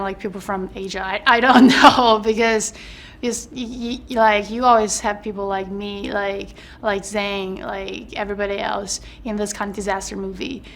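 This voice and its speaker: A woman's voice: mid-range voice, fairly bright tone. A young woman, answering interview questions in a chatty way with many fillers and restarts. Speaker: actress interviewed about her film role.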